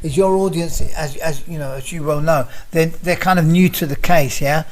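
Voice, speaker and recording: A man's voice: on the high side, steady tone, normal speed, low-quality recording. A man of sixty, a guest in an interview, chatting casually, repeating himself and often addressing the host by name. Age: 60s. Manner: talks over others, repeats phrases, addresses host by name.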